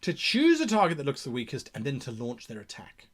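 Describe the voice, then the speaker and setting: A man's voice: medium pitch, huge pitch swings, brisk, husky. A man hosting an instructional talk on game mastering, speaking off the cuff in a theatrical, emphatic way and repeating words for effect. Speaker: host of a game master tips channel. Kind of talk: instructional talk, improvised explanation.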